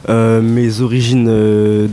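A young man giving casual, hesitant interview answers with lots of fillers and relaxed slang. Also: fairly low pitch, slow, slight background noise. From Italian descent, raised in France. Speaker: rapper interviewed by students.

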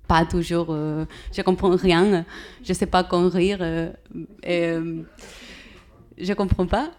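Femme non native, ton chaud, réponses hésitantes en interview. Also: female voice, medium pitch, darkish tone, smooth. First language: not French. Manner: halting learner's French, many hesitations. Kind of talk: radio interview answer.

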